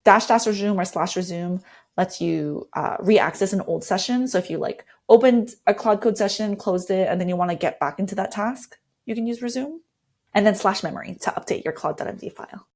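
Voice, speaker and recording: A woman's voice: medium pitch, fairly quick, darkish tone. A woman speaking as an interview guest, explaining and walking through tips in a conversational way. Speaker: interview guest, design lead.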